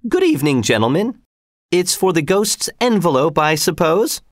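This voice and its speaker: A proud older theater lady, played by a male narrator, speaks high and swooping. She is emphatic and easily flustered, like a character from a storybook reading, in a dry close recording. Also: big pitch swings, moderate pace, dry recording. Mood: polite, earnest. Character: indignant older French woman, proud theater attendant.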